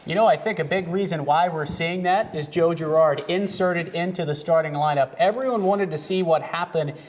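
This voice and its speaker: A young American man doing sports broadcast commentary in clipped, energetic phrases, as an announcer and host. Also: fairly high pitch, moderate pace. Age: young adult.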